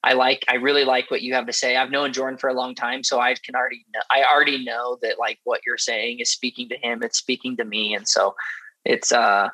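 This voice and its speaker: A man's voice: medium pitch, little pitch movement, brisk, bright, forceful tone, no room sound, poor audio. A man in his thirties co-hosting a podcast, chatting very informally and repeating himself for emphasis. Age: 30s. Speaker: podcast co-host. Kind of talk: casual chat.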